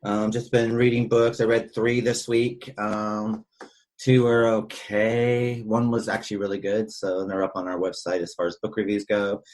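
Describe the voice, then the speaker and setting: A man's voice: fairly low pitch, little pitch movement, normal speed, a little mellow. A man hosting a podcast in a casual, chatty style. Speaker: podcast host. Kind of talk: casual chat.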